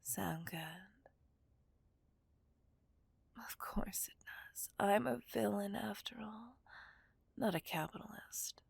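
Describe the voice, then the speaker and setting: A seductive, possessive villainess played by a woman whispers in a breathy voice with a gravelly edge. She is teasing and dominant, drawing her words out slowly as if toying with a captive in an ASMR roleplay. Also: mid-range voice, no room sound, heavily acted. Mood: teasing, smug. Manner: teasing, dominant, drawn-out delivery. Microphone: close microphone, dry sound.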